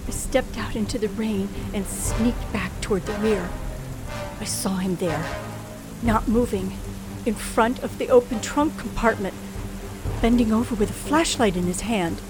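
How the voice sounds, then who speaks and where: A woman's voice: mid-range voice, a little slow, natural voice, low-quality recording. An actress playing a frightened woman narrating her own ordeal in a 1940s-style radio thriller, dramatic and suspenseful. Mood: tense, shaken. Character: frightened young woman narrator held captive by killer. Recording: old radio drama with music behind.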